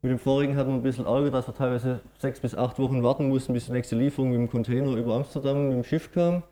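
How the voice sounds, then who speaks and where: Swabian guy presenting at a tech conference, kinda warm low-key tone, lots of ähm. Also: fairly even intonation, rapid-fire. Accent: Swabian German. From Swabia, Germany. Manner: explanatory, matter-of-fact, with frequent "ähm" fillers. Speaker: conference speaker.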